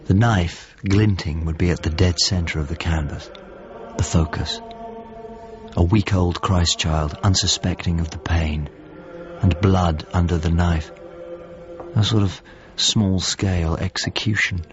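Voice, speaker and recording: An actor playing a thoughtful painter's model, narrating a radio drama in measured, descriptive phrases. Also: male voice, low-pitched, steady tone. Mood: reflective. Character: thoughtful male painter's model grieving a lost friend. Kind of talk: radio drama, reflective first-person narration. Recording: muffled audio with music underneath. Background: music.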